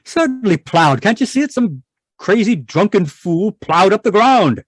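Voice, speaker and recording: A man's voice: high-pitched, very animated, unhurried, no room sound, heavily acted. A man playing an irritable, domineering middle-aged scientist husband in an old-time radio drama, contemptuous and blustering. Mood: agitated. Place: indoors, live video call performance.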